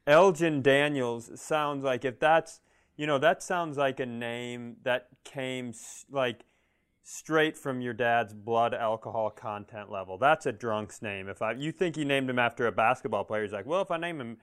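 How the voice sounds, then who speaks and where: A man's voice: medium pitch, a little slow, fairly bright tone, smooth. A man co-hosting a podcast in casual comedic chat, joking and rambling with a self-deprecating streak. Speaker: podcast co-host.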